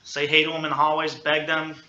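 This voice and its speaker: Bright, forceful male podcast host in echoey room. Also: a little high, monotone, fast, muffled recording. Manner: playful, teasing hype. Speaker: host of a school sports podcast. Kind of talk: casual sit-down sports interview. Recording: roomy, echoey sound.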